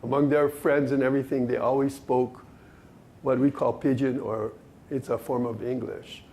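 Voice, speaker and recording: A man from Hawaii presenting at a language workshop, talking in a measured, reflective way with soft laughs. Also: medium pitch, level tone.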